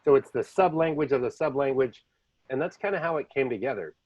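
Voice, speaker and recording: A man's voice: medium pitch, expressive, brisk, a little mellow, no room sound, highs cut off. A man hosting a podcast, telling rambling stories in a casual chat. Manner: rambling storyteller, jumps between languages. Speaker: podcast host. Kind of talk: casual conversation.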